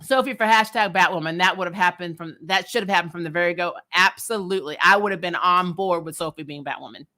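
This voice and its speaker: A woman hosting a recap vlog, chatting casually and answering comments with strong opinions, in an emphatic, blunt, animated manner that includes swearing. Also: lowish voice, fast, few pauses. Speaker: host of a TV show recap vlog.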